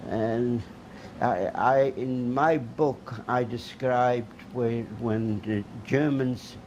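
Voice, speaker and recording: An older man from Poland, first language Polish, giving oral history testimony in a halting way with many restarts. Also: mid-range voice, darkish tone, lo-fi sound, a little hiss or hum. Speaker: Holocaust survivor giving testimony.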